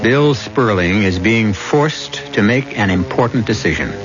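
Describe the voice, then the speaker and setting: Ominous radio mystery host, low and very slow, theatrical, music behind, muffled. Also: male voice, lively intonation. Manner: deliberate, dramatic. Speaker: host and narrator. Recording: dull lo-fi radio recording. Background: clear music.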